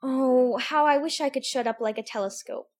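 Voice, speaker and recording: Woman doing a young girl, high-pitched, prim, wondering, chatty self-talk, audiobook. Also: normal speed, close to an everyday voice. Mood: earnest. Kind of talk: full-cast audiobook acting.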